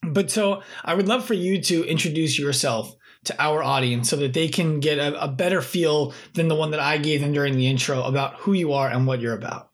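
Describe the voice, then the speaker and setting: A man's voice: a little high, a little fast, few pauses. A man hosting a podcast, talking emphatically and often checking in with "right?". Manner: emphatic, preachy, repeats "right?". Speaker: podcast host. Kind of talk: casual podcast chat.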